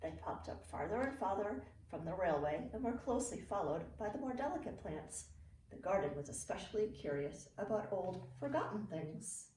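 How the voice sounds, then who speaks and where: Middle aged lady teacher reading a picture book to little kids, lively up and down voice, echoey, bit of hiss. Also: mid-range voice, normal speed. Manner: expressive, clearly phrased storytelling for young children. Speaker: early learning teacher reading to students. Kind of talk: children's picture book read-aloud. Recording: roomy, distant mic with faint noise.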